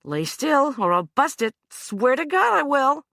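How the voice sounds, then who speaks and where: A woman's voice: high voice, wildly swooping pitch, moderate pace, a bit bright, dry recording, studio quality, voice pushed up, full character voice. A woman narrator voicing a tough, angry woman who swears and threatens a man she has pinned down. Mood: threatening.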